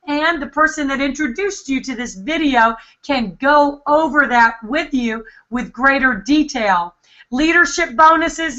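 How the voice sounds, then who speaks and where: A middle-aged woman pitches a business plan with eager, salesy enthusiasm. Her voice sits high and sounds bright and sharp. The sound is dull and lo-fi, as on a video call. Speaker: host. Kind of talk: sales webinar presentation. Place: home office.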